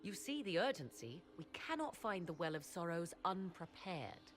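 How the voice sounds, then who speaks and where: A woman playing a proud, learned witch in a fantasy game, with formal, archaic and measured speech. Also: lowish voice, very animated. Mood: urgent, insistent. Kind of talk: dramatic fantasy game acting. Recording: music behind the voice.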